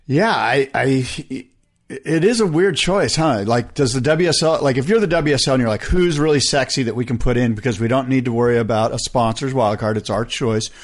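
A man's voice: mid-range voice, a little fast. A man co-hosting a sports talk podcast in casual, slangy banter, jokey and given to acting out imagined quotes. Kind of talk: casual banter about pro surfing.

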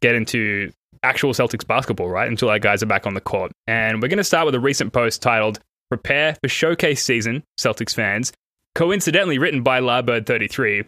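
An Australian man hosts a casual podcast and talks in a chatty, self-deprecating way, with a faint American tinge to his accent. His voice is bright and forward. The recording is dry, with no room sound. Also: medium pitch, fairly quick, no room sound. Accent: Australian with a slight American tinge. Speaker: podcast host.

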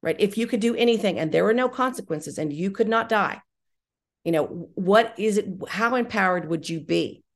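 A woman's voice: medium pitch, animated, moderate pace, creaky. A middle-aged woman answering interview questions conversationally as a podcast guest, enthusiastic and emphatic, often repeating herself. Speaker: podcast guest, audio drama writer-director.

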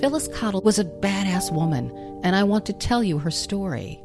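A woman reading a scripted true crime podcast promo in a grave, measured way. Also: medium pitch, big pitch swings, gravelly. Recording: promo with loud music underneath.

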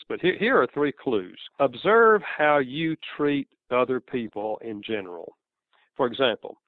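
Southern guy explaining stuff earnestly, mid-pitch, thin phone-line sound, dry. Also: dry recording. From American South. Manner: earnest, explanatory, chuckles between points. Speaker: author guest. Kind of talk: interview answers.

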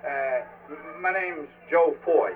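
A distant, tinny line carries a man playing a stubborn, dismissive lobsterman in a radio drama. His pitch runs a little high as he drawls in a folksy, cocky way. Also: slow pace. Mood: boastful, grumbling. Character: cocky, stubborn old-salt Maine lobsterman drinking in a bar. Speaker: actor. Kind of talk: radio play acting. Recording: muffled phone-like line, far from mic.

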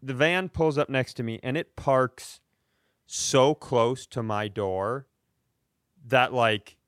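A man telling comedic stories in casual chat as a podcast host, with animated, profane ranting. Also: mid-range voice, takes it slowly, smooth, no room sound, clean studio sound.

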